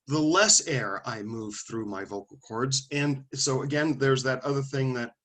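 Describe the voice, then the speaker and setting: A man teaching a class in a loose, conversational way, asking questions and calling on students. His voice sits at an ordinary middle pitch, and he thinks aloud with restarts and small asides. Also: moderate pace, a bit bright. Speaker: lecturer.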